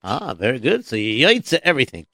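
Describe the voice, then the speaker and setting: A man's voice: medium pitch, wildly swooping pitch, fast, a bit bright, a little hiss or hum. A man hosting a comedic children's story show, patient and dryly teasing toward his sidekick. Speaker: storyteller host.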